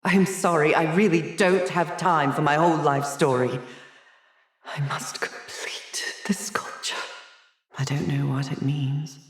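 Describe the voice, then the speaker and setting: Snooty haunted asylum doctor, video game style, slow n theatrical, kinda fretful, echoey room sound. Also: male voice, a little high, fairly even intonation. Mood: weary, distracted. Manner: theatrical, erudite, fretful, with sighs and hesitations. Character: haunted, haughty asylum doctor, obsessed with sculpting. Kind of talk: dramatic video game dialogue.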